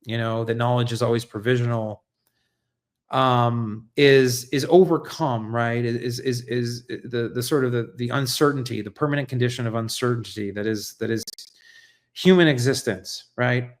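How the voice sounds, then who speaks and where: An American man hosting an unscripted livestream monologue, speaking earnestly and reflectively in a halting, searching way, often repeating words and checking in with "right?". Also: mid-range voice, steady tone. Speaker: livestream host and essayist.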